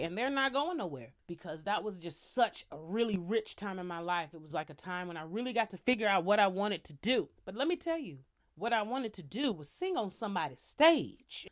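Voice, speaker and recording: A young American woman telling stories casually to her viewers, chatty and animated, full of slang and asides. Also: mid-range voice. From United States. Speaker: vlogger, singer. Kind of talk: casual storytelling to camera.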